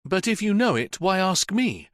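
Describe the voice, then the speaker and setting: A man's voice: sits high, big pitch swings, moderate pace, a bit bright, dry recording, clean studio sound, voice pushed up. A man voicing a frightened, evasive woman under police questioning, tired and plaintive, stammering as she speaks. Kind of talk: audiobook character voice.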